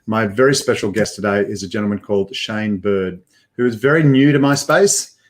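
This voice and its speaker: A man's voice: fairly low pitch, moderate pace. Older man hosting a live video call. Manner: upbeat presenter, frequent fillers. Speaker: host. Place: indoor studio with banner backdrop. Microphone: headphones with mic, webcam framing.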